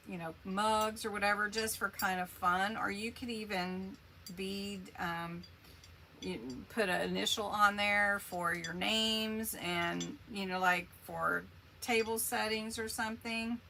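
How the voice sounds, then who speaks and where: A woman's voice: medium pitch, fairly even intonation, takes it slowly, gravelly, bright, forceful tone, slight background noise. A woman hosting a casual craft livestream, chatting along in a rambling way as she works and answers viewer comments.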